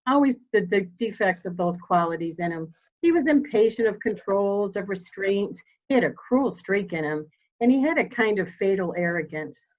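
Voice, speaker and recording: A steely, dignified elderly mother played by a woman speaks with formal, deliberate gravity in a murder mystery drama. Her middle-pitched voice is commanding and controlled, captured in slightly dull, lo-fi audio. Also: close to an everyday voice.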